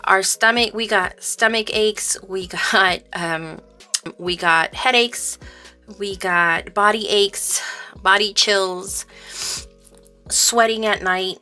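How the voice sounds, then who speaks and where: Woman, casual budgeting vlogger: bright, forward voice, informal halting delivery, faint music underneath. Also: medium pitch, fairly even intonation. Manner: informal, halting storytelling interleaved with counting. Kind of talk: casual chat while counting cash aloud.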